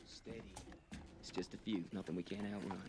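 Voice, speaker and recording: A man playing a wary horseman soothing his nervous horse in a low-key, naturalistic drama style. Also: steady tone, fairly quick, very noisy, natural voice.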